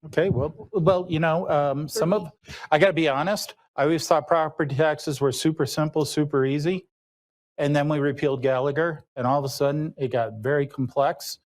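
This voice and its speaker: Folksy, somewhat high-voiced man moderating. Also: fairly even intonation, normal speed. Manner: folksy, hesitant with many fillers. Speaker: county commissioner moderating the meeting. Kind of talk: moderating a public meeting. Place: county meeting chamber.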